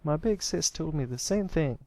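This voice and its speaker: Guy doing a folksy farm filly kid, spirited little sister, high voice, cartoon pony story. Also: expressive, normal speed, warm tone, dry recording. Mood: grumbling.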